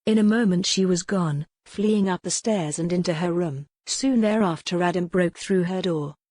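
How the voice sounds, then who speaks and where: Low, mellow woman narrating fiction. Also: normal speed, dry recording. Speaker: audiobook narrator. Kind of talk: scripted dramatic fiction narration.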